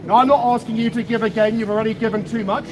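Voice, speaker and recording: A man with a British accent giving a measured, rhetorical public speech as a guest speaker outdoors. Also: sits high, steady tone. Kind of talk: public speech to a gathered crowd. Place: outdoors in an urban street. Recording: noisy outdoor street ambience.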